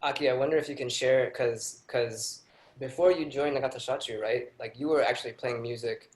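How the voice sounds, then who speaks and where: A man interviewing a guest on a livestream, prompting her with quick questions and checking he has things right. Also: mid-range voice, steady tone. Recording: roomy and a bit distant.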